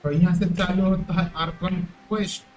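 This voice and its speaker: A man's voice: sits high, steady tone, racing, faint music behind, poor audio. A man with an Indian accent giving casual gameplay commentary as a streamer, in short muttered reactions. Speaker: game streamer.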